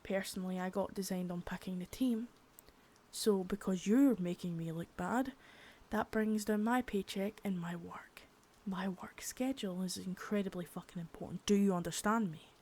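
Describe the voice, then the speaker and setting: A woman's voice: medium pitch, normal speed, darkish tone, close to an everyday voice. A woman voicing a stern, foul-mouthed female boss in an ASMR roleplay, harsh and cold, often dropping to a whisper.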